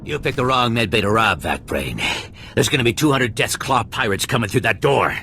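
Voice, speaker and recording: A gravelly blustering pirate medic. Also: male voice, mid-range voice, lively intonation, brisk, bright, forceful tone, slight background noise. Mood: threatening. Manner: blustering then backpedaling, stammering. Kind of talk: game cutscene acting.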